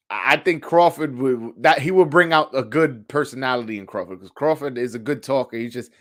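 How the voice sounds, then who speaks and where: A man hosting a live sports call-in show, chatting casually in slangy, sweary language and often repeating phrases as he thinks aloud. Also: medium pitch. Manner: informal, slangy, frequent swearing. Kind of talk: casual sports chat answering live commenters.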